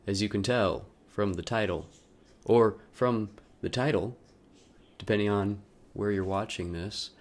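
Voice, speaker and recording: A man speaking in a wry, self-deprecating way with joking asides, as in a personal video made by its creator. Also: lowish voice. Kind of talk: casual vlog intro, then loose dramatic reading of a personal letter.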